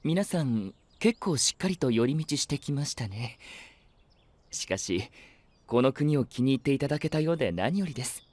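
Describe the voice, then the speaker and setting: A man's voice: mid-range voice, expressive, moderate pace, darkish tone, no room sound, lightly acted. A man playing a gracious, courteous knight who speaks formally and politely in an anime-style audio drama. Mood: polite, pleased. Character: gracious, polite male knight hosting guests.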